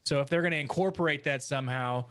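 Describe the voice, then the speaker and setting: A man's voice: a little high, quick pace, fairly bright tone, slight background noise. A man giving his thoughts casually as a panelist on a podcast. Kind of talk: casual discussion.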